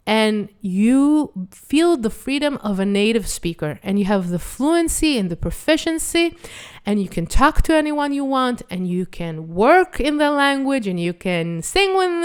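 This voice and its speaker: Israeli-accented woman in her thirties, Hebrew teacher, smooth clear voice, animated playful explaining. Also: mid-range voice. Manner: lively, expressive explaining with playful acted examples. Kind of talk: online language lesson.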